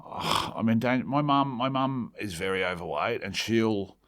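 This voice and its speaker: A man's voice: on the low side, expressive, unhurried, a bit bright. An Australian man chatting casually as a comedian guest, rambling along and often breaking off to restart his sentences. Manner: hesitant, rambling, self-interrupting. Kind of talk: casual rambling comic chat.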